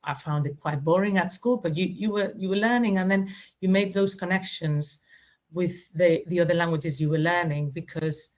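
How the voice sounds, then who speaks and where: Female webinar panelist, native Spanish speaker, lowish voice, reflective and halting, muffled lo-fi call audio. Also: a little mellow. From Spain or Spanish-speaking country. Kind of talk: conversational personal account in a webinar. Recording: dull, lo-fi online call audio.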